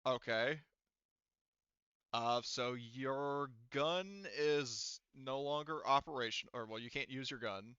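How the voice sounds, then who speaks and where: A man acting as game master for a tabletop roleplaying game, narrating casually and haltingly as he thinks through the rules aloud. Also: medium pitch, sharp tone, clean tone, no room sound, muffled recording.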